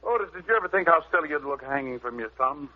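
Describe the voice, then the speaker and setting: A wisecracking American private eye, sardonic and unflappable. His pitch swings with every dry aside, in a tinny vintage radio drama recording. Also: expressive, fast talker. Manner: wry, breezy, sardonic. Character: wisecracking, unflappable male private detective who narrates his case. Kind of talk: 1940s radio detective acting.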